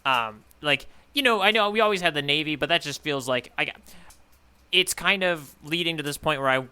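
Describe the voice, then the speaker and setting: A man's voice: a little high, lively intonation, a little fast, pushed and bright, clear, resonant tone. A man co-hosting a casual podcast discussion, thinking aloud and tentatively working through his ideas as he speaks. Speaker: podcast co-host, newcomer reader giving theories.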